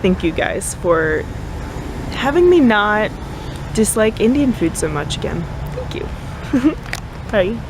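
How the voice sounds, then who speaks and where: A woman's voice: mid-range voice, sing-song, slow pace, pauses often, noisy background. A young adult American woman chatting casually to the camera as a vlogger. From United States. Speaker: vlogger on a mission trip.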